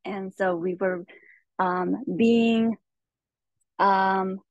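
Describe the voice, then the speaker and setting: A young woman from California telling her life story as a podcast guest, soft-spoken and hesitant with many pauses. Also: medium pitch, fairly even intonation, no echo, muffled recording. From Stockton, California.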